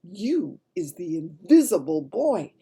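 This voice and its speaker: A woman voicing an excitable, baffled father in a storybook, exclaiming loudly and emphatically. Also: lowish voice, takes it slowly, breathy edge, pitched above the performer's own voice, heavily acted. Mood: baffled excitement.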